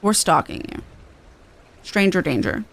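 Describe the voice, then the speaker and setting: A woman's voice: fairly low pitch, big pitch swings, unhurried, vocal fry. A woman game streamer talking casually and reading quest text aloud.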